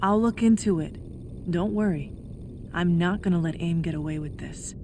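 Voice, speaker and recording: A woman's voice: lowish voice, normal speed, darkish tone, a little hiss or hum, lightly acted. A young woman playing a determined, caring corporate insider in a video game, earnest and reassuring. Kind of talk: video game cutscene acting.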